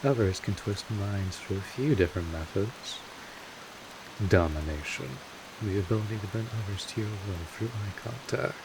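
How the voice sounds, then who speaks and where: A man playing a world-weary, gruff but kindly vampire mentor in an audio roleplay, explaining things casually and unhurriedly to the listener. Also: on the low side, normal speed, natural voice. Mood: wry, instructive. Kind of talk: roleplay audio drama, talking directly to the listener. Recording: steady hiss of rain-like noise.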